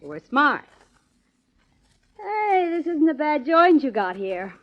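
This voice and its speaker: Sneering young tough gal, slangy, big pitch swings, dull old radio sound. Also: female voice, big pitch swings, slow pace, full character voice. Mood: sassy. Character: sassy, hard-boiled young gangster's moll. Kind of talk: 1940s radio crime drama acting. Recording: muffled old radio recording.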